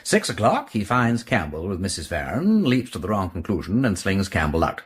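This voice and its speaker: A man playing an urbane, quick-witted upper-class English gentleman detective in a radio drama, musing aloud in clipped phrases. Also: deep voice, wildly swooping pitch, husky, full character voice. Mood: analytical. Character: suave aristocratic English gentleman sleuth, inquisitive and quick-witted.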